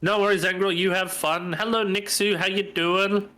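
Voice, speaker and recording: A man's voice: sits high, fairly even intonation, moderate pace, bright, forceful tone. A man with a stuffy nose from hay fever, chatting casually on a live stream and thinking aloud as he browses. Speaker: stream co-host.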